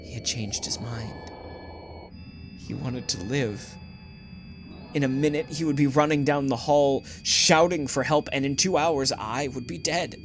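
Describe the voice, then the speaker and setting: A man's voice: medium pitch, a little slow, natural voice. A man playing a nervous condemned poet on the run in an audio drama, sometimes hesitant and stammering. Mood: tense dread. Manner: hesitant, stammering when speaking to others. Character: nervous condemned poet fleeing prison, narrating his dread. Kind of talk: first-person audio drama narration and dialogue. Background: music.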